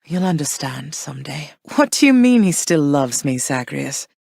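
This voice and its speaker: Persephone: tender, measured underworld queen and mother, slightly low voice, dry studio read. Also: sing-song, creaky, a little mellow, husky, no echo, heavily acted. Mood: pleading. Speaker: game character. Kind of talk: game voice lines.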